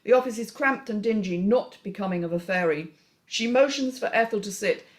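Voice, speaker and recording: A woman's voice: medium pitch, fairly quick. A woman narrating a screenplay, reading out scene headings and stage directions as scripted narration.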